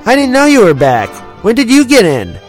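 Excitable young farm boy, shouting, high and eager, man voicing. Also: normal speed, faint music behind, exaggerated voice. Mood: excited.

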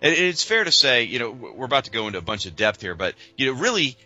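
A man's voice: mid-range voice, very animated, fast talker, bright, forceful tone, dull audio. A man co-hosting a podcast, steering a casual conversation in an informal, emphatic way. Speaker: podcast co-host.

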